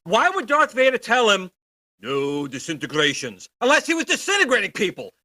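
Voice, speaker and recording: A man's voice: high-pitched, wildly swooping pitch, normal speed, pushed and bright. A man co-hosting in casual banter, teasing and needling the people he talks with. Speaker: co-host.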